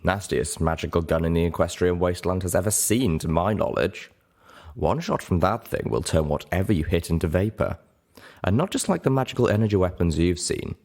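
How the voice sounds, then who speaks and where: Man reading as a low-voiced, thoughtful unicorn mare. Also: darkish tone. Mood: matter-of-fact, wary. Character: grey female unicorn, guarded, thoughtful storyteller.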